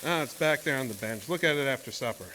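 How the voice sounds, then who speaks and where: A man's voice: mid-range voice, fast, pushed and bright, natural voice. Folksy oil driller, acted by a man, noisy track. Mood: relaxed, hospitable. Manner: folksy, colloquial rural speech. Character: folksy, easygoing oil driller nicknamed Porky. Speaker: narrator and lead character. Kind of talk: first-person horror audio drama storytelling. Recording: noisy track with ambient sound.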